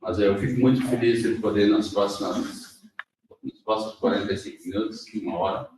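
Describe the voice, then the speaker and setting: Low-voiced male interpreter in an echoey hall. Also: fairly even intonation, brisk, a little mellow, recorded from a distance, slight background noise. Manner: rephrases as he goes, with fillers and restarts. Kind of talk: consecutive interpreting.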